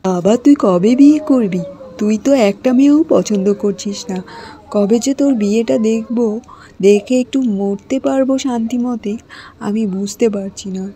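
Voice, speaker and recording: A worried older queen mother from a cartoon, voiced by a woman, nagging and pleading. Her voice is mellow and soft-edged, mid-pitched. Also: moderate pace, close to an everyday voice.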